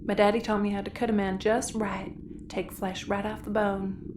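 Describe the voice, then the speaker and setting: A woman's voice: medium pitch, flat delivery, moderate pace, close to an everyday voice. A woman playing a folksy, cheerfully cruel cannibal with a Southern US accent in a sci-fi audio comedy. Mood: folksy menace. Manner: folksy drawl, matter-of-fact menace. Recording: roomy, noisy ambience.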